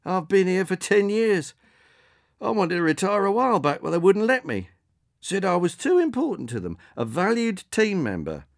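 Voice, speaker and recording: Storyteller doing a cheery, coaxing older call-centre man; raised pitch, dry close mic. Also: sing-song, normal speed, creaky, dry recording. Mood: delighted. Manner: professional good cheer, coaxing. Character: old male drone delivery worker, falsely cheerful.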